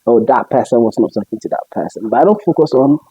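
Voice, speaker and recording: A man's voice: mid-range voice, expressive, quick pace, mellow, slightly rough, no echo, highs cut off. A young man from Ghana whose accent mixes Ghanaian and international English, chatting casually as an interviewer and often laughing. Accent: Ghanaian mixed with international English. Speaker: interviewer and web show host.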